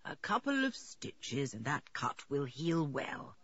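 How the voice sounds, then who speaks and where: A woman voicing an unconcerned, brisk male country vet, reassuring and matter-of-fact, in an audiobook. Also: low-pitched, sing-song, fairly bright tone, breathy edge, dry recording, dull audio, voice pushed down, heavily acted. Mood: calm reassurance. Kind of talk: audiobook character voice.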